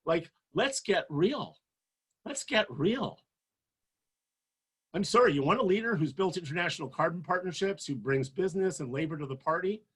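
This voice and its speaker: Man in his sixties, husky voice, passionate, emphatic political speech. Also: sits high, lively intonation, normal speed. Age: 60s. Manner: passionate, emphatic, rising to forceful peaks. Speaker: political candidate.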